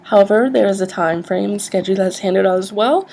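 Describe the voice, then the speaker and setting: A soft-spoken woman in an ASMR roleplay, acting as a polite, businesslike job interviewer who explains things a little hesitantly. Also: medium pitch, brisk, gravelly, slight background noise.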